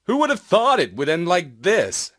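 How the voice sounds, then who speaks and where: Man voicing earnest, slightly tentative commander, highish voice. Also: huge pitch swings, moderate pace, fairly bright tone, exaggerated voice. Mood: sombre. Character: bright, polite, slightly hesitant male human commander Marlowe.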